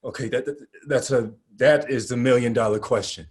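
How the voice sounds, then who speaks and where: A man acting in a script read as a rattled, foul-mouthed leader of a trapped group, speaking in a halting, urgent way. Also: medium pitch, natural voice. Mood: flustered. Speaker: actor in a screenplay table read. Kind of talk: acted script reading.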